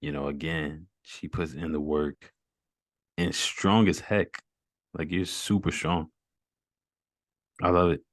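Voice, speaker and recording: A man's voice: a bass voice, level tone, takes it slowly, long pauses, no echo, studio quality. A man hosting a casual fitness interview over a video call, upbeat and encouraging, with easy slang. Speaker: host.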